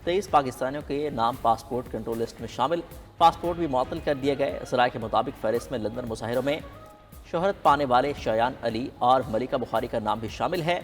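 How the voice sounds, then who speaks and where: A man's voice: medium pitch, quick pace. A man with a Pakistani accent delivering scripted headlines as a news anchor. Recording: long reverb with music underneath. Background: music bed.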